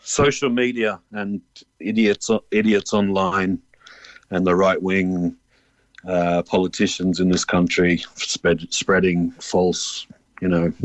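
Low-voiced Australian man, a weary-sounding phone-in guest. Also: flat delivery, slow, dry recording. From born in Ireland, grew up in Australia. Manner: matter-of-fact, fed up, hesitant. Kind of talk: casual interview answers.

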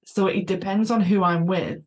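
A woman from West Yorkshire with a mixed Yorkshire and Brummie accent, hosting a podcast and putting friendly, quick questions to her guest. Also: mid-range voice, steady tone, a little mellow. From West Yorkshire, England. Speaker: podcast host. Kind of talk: casual interview chat.

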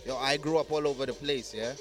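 Male, mid-twenties, mid-pitched, podcast guest from Congo. Loose, slangy conversational delivery with music behind. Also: quick pace. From Congo, grew up across Africa. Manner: slangy, frequent "you know what I mean" tags. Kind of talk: casual interview chat.

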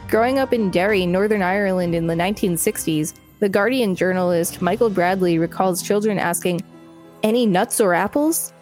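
A woman's voice: medium pitch, moderate pace, darkish tone. Woman co-host reading over music. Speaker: podcast co-host. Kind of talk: reading an article aloud with casual asides.

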